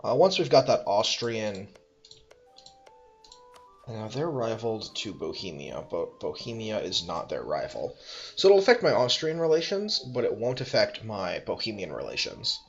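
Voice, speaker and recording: A man talks through his moves in a strategy game, pausing to think and correcting himself with dry little jokes. His voice sits low but swings up and down a lot. The recording is a bit dull and lo-fi, with soft music underneath. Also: wildly swooping pitch, gravelly. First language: English. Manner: musing, self-correcting, dry asides. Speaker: let's play host.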